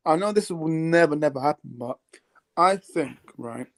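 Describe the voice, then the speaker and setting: A nineteen-year-old man co-hosting a casual football talk, excitable and teasing, swearing and repeating "mate, yeah". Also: a little high, moderate pace, gravelly.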